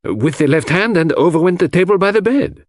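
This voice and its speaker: A man voicing a fussy, excitable, vain foreign detective who speaks emphatically in an audiobook mystery. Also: high-pitched, brisk, no echo, clean studio sound, voice pushed up. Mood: vexed. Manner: emphatic, repeats words, French phrases sprinkled in.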